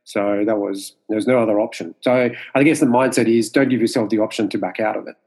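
A man in his forties from Mauritius, whose first language is Creole, giving thoughtful interview answers with many pauses and fillers. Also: fairly low pitch, a little mellow. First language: French Creole. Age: 40s. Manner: measured, with many fillers and restarts. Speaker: martial arts instructor, guest.

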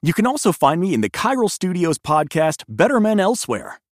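A man's voice: high-pitched, sing-song, a little fast, no echo, studio quality. A man, a guest voice actor, giving a plain, friendly-worded podcast sign-off about himself.

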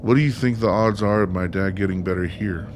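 Guy playing a bitter blunt son in a radio drama, lowish voice, bit of noise behind. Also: brisk, natural voice. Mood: cautious. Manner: blunt, sardonic. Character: bitter, sarcastic, foul-mouthed son of an ailing father. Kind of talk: radio play acting.

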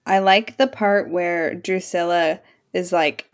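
A woman with a slightly low voice. She chats in a casual, conversational way as a co-host on a podcast. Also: steady tone, takes it slowly. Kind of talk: casual chat.

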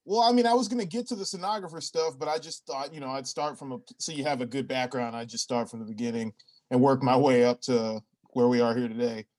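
A man improvising a hapless, rambling stenographer job applicant in a comedy sketch, halting and sheepishly self-justifying. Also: mid-range voice, a little mellow, close to an everyday voice. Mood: rambling, earnest.

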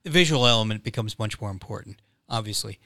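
A man, a playwright, speaking in a podcast interview, measured and explanatory with frequent pauses and fillers. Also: fairly low pitch, animated, creaky, sharp tone, no room sound, studio quality.

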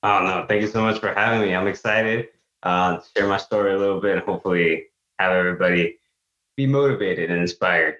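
Deep-voiced man from El Salvador, earnest. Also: a little fast, fairly bright tone. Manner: earnest, with many restarts. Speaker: guest, actor and author. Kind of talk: conversational interview storytelling. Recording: echoey, slightly dull remote audio.

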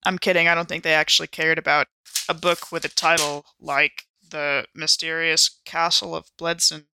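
A woman's voice: deep voice, level tone, moderate pace, background noise. A woman player in a tabletop game, speaking improvised dialogue in character.

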